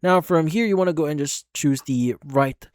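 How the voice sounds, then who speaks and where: A man hosting a software tutorial, instructing step by step in a repetitive way. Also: fairly high pitch, moderate pace, no room sound, clean studio sound. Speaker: tutorial host. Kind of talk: screen-recorded software tutorial narration.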